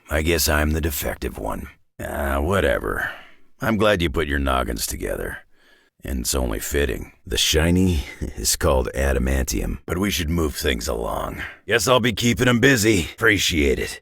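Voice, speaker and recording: A man voicing a gruff, surly middle-aged superhero in a video game, raspy and sardonic with clipped folksy tough talk in a North American accent. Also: extremely low pitch, a bit bright, dry recording, clean studio sound, exaggerated voice. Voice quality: gravelly, raspy. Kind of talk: video game character voice lines.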